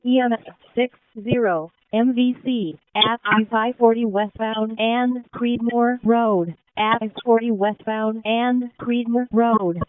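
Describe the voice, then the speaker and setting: Female dispatcher on tinny two-way radio, slow n formulaic, repeats stuff. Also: fairly high pitch, a little hiss or hum. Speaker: emergency dispatcher. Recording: phone-like narrow-band emergency radio.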